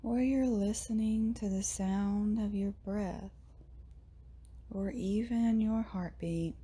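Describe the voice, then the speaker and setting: A woman leading a guided meditation in a soft, breathy, often near-whispered voice, gently soothing, with long pauses between short phrases. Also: mid-range voice. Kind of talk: guided meditation, slow and hushed.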